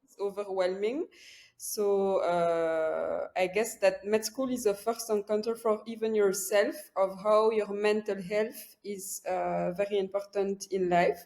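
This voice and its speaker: A young woman with a Belgian French accent, speaking English as a second language after French. She talks softly and thoughtfully, often pausing on "uh" as she looks for words. Her voice sits in a middle pitch. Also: fairly even intonation. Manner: soft-spoken, searching for words with frequent "uh"; laughs lightly while speaking. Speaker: guest psychiatrist. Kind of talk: casual interview talk.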